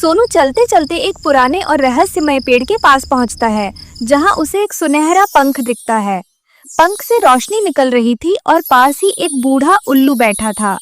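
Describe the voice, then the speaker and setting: A woman's voice: high-pitched, animated, a little slow, a little hiss or hum. A woman narrating a scripted children's moral story as a storyteller.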